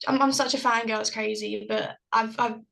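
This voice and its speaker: A woman's voice: on the high side, steady tone, moderate pace, gravelly, fairly bright tone. A young woman from South London with a South London accent, a singer-songwriter chatting casually on a video call, giggly and full of "like" fillers. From South London, England. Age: young adult. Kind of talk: casual interview chat.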